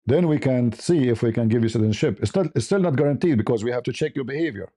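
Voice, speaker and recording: A man's voice: mid-range voice, expressive, fast, warm tone. A man with an Arabic accent giving a blunt, off-the-cuff opinion monologue as a livestream host, repeating phrases for emphasis.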